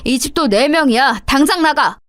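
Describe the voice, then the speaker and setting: A woman's voice: high voice, expressive, normal speed, fairly bright tone, no room sound, studio quality, voice pushed up. Woman doing a furious eldest son. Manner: harsh, furious scolding. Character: angry, outraged middle-aged eldest son.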